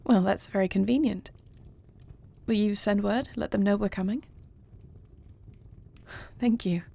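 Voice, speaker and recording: A woman playing an affectionate, dutiful queen in an intimate fantasy audio roleplay, speaking softly and formally with measured, murmuring diction. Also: mid-range voice, vocal fry. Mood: intrigued, pleased. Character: affectionate, dutiful dragon queen, newly wed to a princess.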